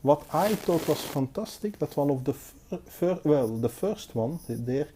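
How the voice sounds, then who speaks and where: Mellow, dark-voiced Belgian man reviewing. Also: fairly high pitch, animated, slight background noise. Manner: hesitant, halting, unscripted delivery. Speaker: knife collector and reviewer. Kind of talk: casual hands-on review commentary. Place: indoors, at a table.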